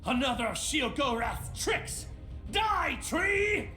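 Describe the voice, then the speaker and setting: A man's voice: very high-pitched, big pitch swings, slow, exaggerated voice. An actor playing an unhinged adventurer who has lost his memory, rambling erratically in a theatrical video game style. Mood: rambling, manic. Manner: erratic, rambling, talking to himself. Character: unhinged, amnesiac male adventurer losing his mind to madness. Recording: echoey, faint music behind.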